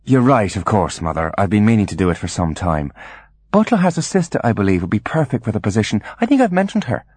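A man voicing a calculating boy in an audiobook, speaking politely and carefully to a parent in measured phrases. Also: on the low side, sing-song, darkish tone, husky, no echo, voice pushed up. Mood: smooth, obliging. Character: calculating, polite boy mastermind humouring his mother.

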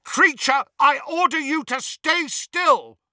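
A man's voice: fairly high pitch, sing-song, a little slow, dry recording, studio quality, pitched above the performer's own voice, full character voice. A man voicing an impatient young male wizard who fires off firm, demanding questions. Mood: bellowing command. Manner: forceful, demanding. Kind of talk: audiobook character voice.

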